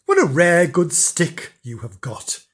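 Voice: mid-range voice, slow pace, heavily acted. Mister Vinegar, eager foolish countryman, read aloud. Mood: eager wishful. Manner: eager, wistful, excitable.

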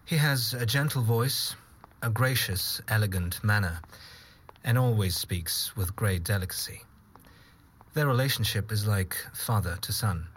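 A man's voice: lowish voice, a little slow, a bit bright, a little hiss or hum. A man reads aloud from a written memoir in a literary style. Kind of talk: reading a written memoir excerpt.

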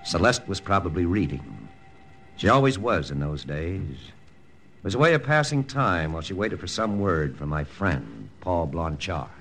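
An actor playing a guilt-ridden wartime secret agent who narrates in the first person in a 1950s radio drama, in a world-weary, confessional way. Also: male voice, low-pitched, sing-song, moderate pace, vocal fry, a bit bright, faint music behind, exaggerated voice. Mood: brooding.

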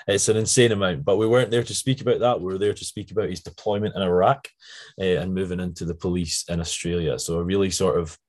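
A Scottish man with a low, slightly croaky voice, chatting casually and ramblingly as he introduces a podcast. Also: fairly even intonation, fast, a little mellow. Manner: chatty, rambling with frequent tangents. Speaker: podcast host.

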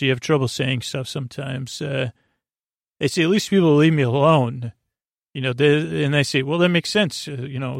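A man hosting a bedtime podcast, rambling whimsically in a hesitant, halting way, with stammers and trailing tangents. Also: fairly high pitch, vocal fry, dry recording, clean studio sound. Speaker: podcast host.

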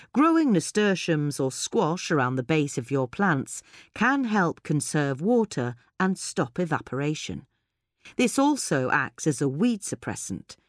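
A woman's voice: low voice, dry recording, studio quality. A woman presenting a gardening guide as scripted instructional narration.

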